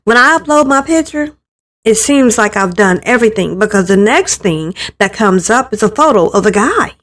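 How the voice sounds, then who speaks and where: Expressive woman reading a story aloud. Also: medium pitch, moderate pace. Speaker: visual novel player reading aloud. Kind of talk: expressive first-person story narration.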